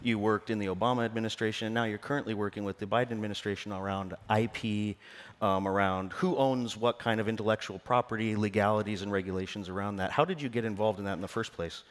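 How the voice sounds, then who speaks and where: A man's voice: lowish voice, fairly even intonation, fast. A man moderating a conference panel, asking questions in a conversational, joking way to the audience. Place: stage in a conference room. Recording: long reverb of a large hall.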